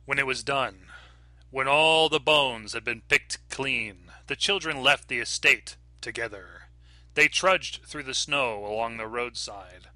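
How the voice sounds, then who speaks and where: A man reading a horror short story aloud in a measured, dramatic narration. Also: mid-range voice, sharp tone, no echo. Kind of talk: scripted narration.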